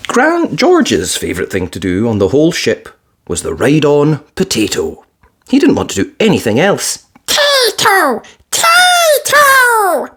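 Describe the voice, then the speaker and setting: A woman reading a children's picture book aloud as storyteller, expressive and playful with sound words. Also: extremely low pitch, normal speed, slightly rough.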